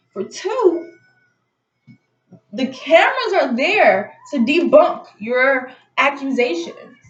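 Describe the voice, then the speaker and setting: High-voiced woman storyteller, echoey room. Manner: animated, indignant retelling with acted-out dialogue. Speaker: storytelling vlogger. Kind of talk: casual personal storytelling. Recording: muffled audio in echoey room.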